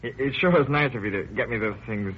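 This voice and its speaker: Actor as a good-natured young son, thin radio sound. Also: male voice, on the low side, fast, close to an everyday voice. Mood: affable, impatient. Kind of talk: 1930s radio drama acting. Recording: thin, narrow, faintly noisy old radio.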